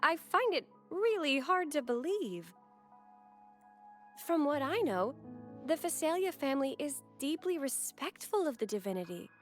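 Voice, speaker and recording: A devout young church girl from a video game. She speaks in a high, animated voice with polite, earnest hesitancy. Music runs underneath. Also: unhurried. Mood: troubled, earnest. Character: sweet, devout, flustered young church acolyte woman.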